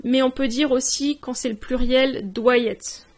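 A woman giving a language lesson in a voice-over, saying each word slowly, repeating it and translating it. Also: sits high, fairly even intonation. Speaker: language teacher. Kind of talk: slow spoken language lesson. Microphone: voice-over on text slides.

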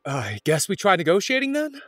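Excitable male elf companion played by an actor for fantasy audio drama, wry and casual, animated pitch, very dry. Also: a little fast, no echo, soft background music, heavily acted. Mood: resigned. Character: excitable, wry part-elf adventurer, eager to please.

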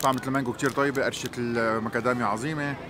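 A man's voice: mid-range voice, steady tone, very fast, noisy background. A man in his forties with a Lebanese Arabic accent, narrating a casual, enthusiastic travel vlog. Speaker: travel vlogger, host.

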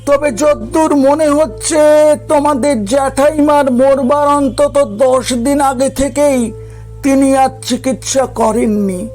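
A man voicing an elderly, kindly but evasive family lawyer in an audio drama, halting and measured. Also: extremely high pitch, fairly even intonation, darkish tone, soft background music, pitched above the performer's own voice, exaggerated voice. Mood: explaining carefully. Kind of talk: Bengali audio story character acting.

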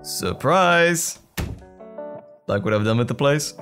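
A man's voice: medium pitch, lively intonation, slow pace, a bit bright, soft background music. A man playing a loving, attentive boyfriend in a roleplay audio drama, tender and reassuring with playful pet names. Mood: playful, affectionate. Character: loving, attentive boyfriend comforting his troubled partner.

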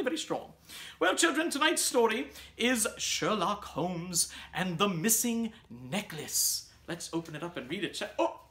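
A man as a high-voiced, fussy storytime host. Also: a bit bright, husky, exaggerated voice. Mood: genteel musing. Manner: pompous, exclamatory, musing. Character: fussy, theatrical gentleman host of children's storytime. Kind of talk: comic children's show presenting.

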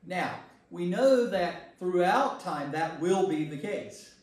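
A man's voice: sits high, animated, slow pace. An American man, a pastor leading an informal Bible study, speaking emphatically and repeating phrases for stress. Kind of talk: informal teaching with group discussion. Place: indoor church meeting room. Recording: echoey room, distant mic, faint noise.

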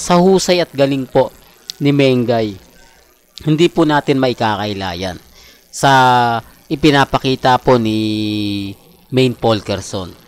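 A man with a Filipino accent hosting a fan channel, chatting casually through celebrity updates and often checking that listeners agree. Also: medium pitch. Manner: conversational, repeatedly seeking agreement with tag questions.

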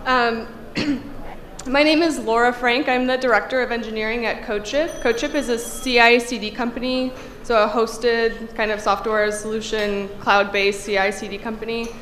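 A woman's voice: on the high side, fairly even intonation, moderate pace, fairly bright tone. Congested woman presenting at a podium. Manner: conversational, frequent fillers. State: congested from a cold. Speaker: conference speaker. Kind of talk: technical conference talk. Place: conference hall, at a podium. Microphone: podium microphone. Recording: echoey hall, faint room hum.

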